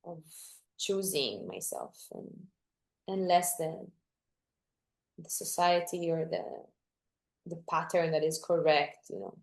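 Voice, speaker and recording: Woman, young adult, from Greece, lower pitch, hesitant restarts, interview guest. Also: vocal fry. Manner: halting, searching for words with many restarts. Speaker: guest dancer. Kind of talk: reflective interview talk.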